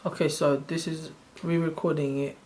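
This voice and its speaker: A soft-spoken man in his thirties, originally from Sri Lanka, casually walking through his own screen in a loose, rambling way. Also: a little high, level tone, moderate pace, low-quality recording. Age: 30s. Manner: rambling, loose and self-correcting. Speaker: channel host. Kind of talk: casual screen-share walkthrough.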